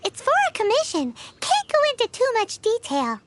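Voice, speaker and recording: A woman's voice: extremely high pitch, wildly swooping pitch, normal speed, very noisy, heavily acted. A woman voicing a tiny, perky, self-assured fairy companion in an anime-style video game, in a cutesy childlike voice. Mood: chirpy, matter-of-fact. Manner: refers to self in third person, cutesy. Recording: loud game music behind.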